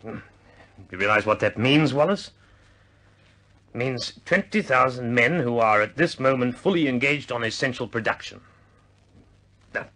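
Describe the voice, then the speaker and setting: A man's voice: medium pitch, expressive, moderate pace, pauses often, pushed and bright. An actor playing an exasperated senior British official in a vintage radio drama, stammering and emphatic as he blusters.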